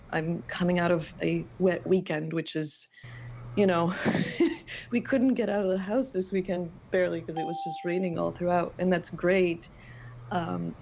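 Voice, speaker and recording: A woman's voice: on the low side, creaky. A woman calling in to a conference call from home, chatty and enthusiastic, with many restarts. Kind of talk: casual call-in comment. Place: home, California. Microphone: phone or computer on a conference call. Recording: muffled conference-call audio, faint noise.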